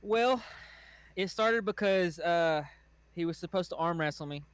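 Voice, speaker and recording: A man's voice: high voice, measured pace, clear, resonant tone, dry recording. Stammering man joking on a call. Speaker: panel guest. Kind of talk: casual chat on a video call.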